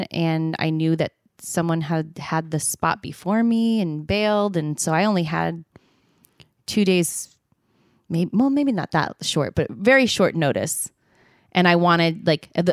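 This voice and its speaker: Low-voiced woman in her 30s, chatty and candid, smooth clear tone, dry close sound. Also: measured pace, dry recording. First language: not Spanish; sings in Spanish. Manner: chatty, candid, laughs easily, many fillers. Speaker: singer and podcast co-host. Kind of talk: casual storytelling chat.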